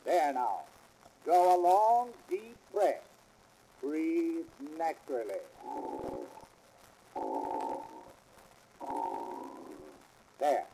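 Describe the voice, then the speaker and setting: Formal, lively-pitched dentist. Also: male voice, slow. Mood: reassuring. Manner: formal, precise phrasing. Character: polite, formal male dentist, patient and businesslike. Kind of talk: 1910s comic sketch record acting. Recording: hissy old 78 rpm comedy record.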